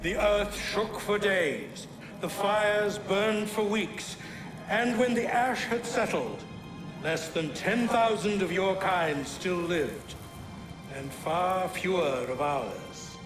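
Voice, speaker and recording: A man's voice: high-pitched, slow pace. A man playing an ancient, solemn, weary godlike being in a video game cutscene, speaking formally and with portent. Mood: grave, warning. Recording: echoey voice under loud music.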